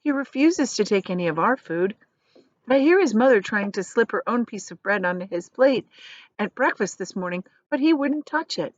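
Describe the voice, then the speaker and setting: A woman's voice: medium pitch, expressive, normal speed, no room sound, natural voice. Woman reading as a sad old granny, fretful and murmuring, storybook style, dry kinda muffled audio. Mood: miserable, fretful. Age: older. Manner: murmuring, miserable. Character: miserable old grandmother, fretful and helpless.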